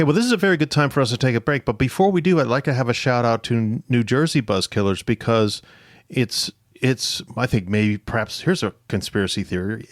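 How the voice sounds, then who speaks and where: A man's voice: medium pitch, no room sound, clean studio sound. A man hosting a history podcast, asking questions and chatting conversationally with guests. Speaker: podcast host. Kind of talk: conversational interview on a history show.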